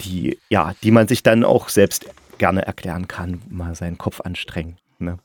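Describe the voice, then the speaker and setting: A man's voice: low-pitched, normal speed. A man hosting a casual interview, speaking in an enthusiastic and reassuring way. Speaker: interviewer, podcast host.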